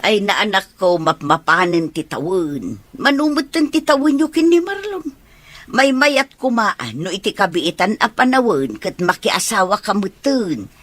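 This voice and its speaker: A woman's voice: medium pitch, big pitch swings, measured pace, no echo. A woman playing an older, teasing village mother and matchmaker in an audio drama, coaxing and nagging.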